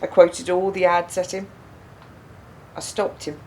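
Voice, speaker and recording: A woman's voice: unhurried, natural voice. An actress in a radio play playing a bereaved, wounded woman who questions pointedly with controlled bitterness. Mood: bitter, pained. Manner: probing, pointed, echoing words back. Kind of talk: naturalistic radio play dialogue. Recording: steady background noise, low rumble.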